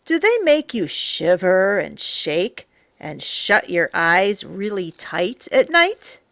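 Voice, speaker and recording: A woman reading a children's picture book aloud as a storyteller, in a theatrical, playful, emphatic way with drawn-out words. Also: medium pitch, sing-song, gravelly, fairly bright tone. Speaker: storyteller, teacher.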